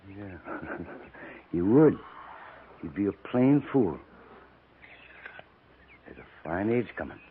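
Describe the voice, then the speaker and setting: A thin, noisy old radio recording of a menacing buffalo skinner. His low voice drawls in folksy frontier talk, swinging widely in pitch as he gloats and chuckles over his threats. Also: big pitch swings, takes it slowly, pauses often, phone-quality sound, heavily acted. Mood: gloating menace. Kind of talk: 1950s western radio acting.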